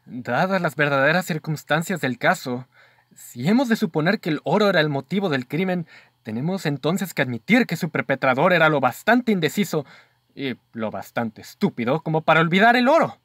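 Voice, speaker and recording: Higher male voice, man acting as earnest investigator, Mexican accent, emphatic formal phrasing, radio drama, very dry acoustic. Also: expressive, fairly quick, fairly bright tone, dry recording. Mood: earnest reasoning. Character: earnest male investigator's companion, astonished, reasoning aloud.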